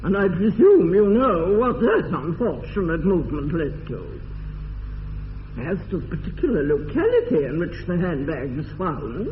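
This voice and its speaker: Haughty, swooping upper-class English dowager. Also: female voice, on the low side, big pitch swings. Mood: scandalised. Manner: imperious, pompous, deliberate enunciation. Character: haughty, domineering older aristocratic English lady. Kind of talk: classic radio comedy acting. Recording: dull lo-fi radio recording, faint noise.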